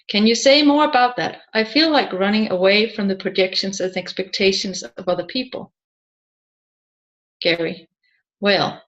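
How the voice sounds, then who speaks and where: A woman reading a scripted audiobook in a measured, deliberate way, phrase by phrase. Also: medium pitch, fairly bright tone, muffled recording. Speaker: audiobook narrator.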